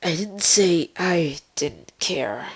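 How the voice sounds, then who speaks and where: A woman voicing a guarded young female pony in a fan story reading, blunt, deadpan and husky. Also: fairly low pitch, slow, heavily acted. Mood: hesitant, guarded. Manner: terse, deadpan, matter-of-fact.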